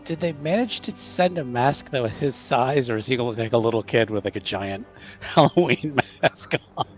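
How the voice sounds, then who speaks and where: A man's voice: medium pitch, expressive, moderate pace, dull audio, faint music behind. A man playing a tabletop game, chatting casually and improvising in a stop-start way, repeating words.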